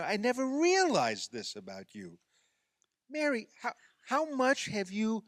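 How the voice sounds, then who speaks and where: Actor as a sarcastic sore loser, animated man. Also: sits high, no echo. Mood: accusing. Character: self-pitying, sarcastic male amateur basketball player, sore loser. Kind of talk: radio play dialogue.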